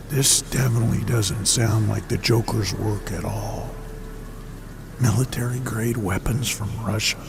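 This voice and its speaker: A man playing a grim masked vigilante in an audio drama, speaking in terse, brooding, gravelly lines. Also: mid-range voice, noisy background. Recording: loud music under the voice.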